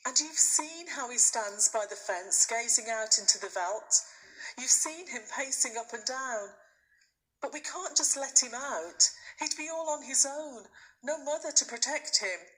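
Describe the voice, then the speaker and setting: A sad, gentle storybook mother, read by a woman, reasoning with her young son. She coaxes in a raised, bright pitch. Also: lively intonation, a little slow, rough recording. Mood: sad persuading.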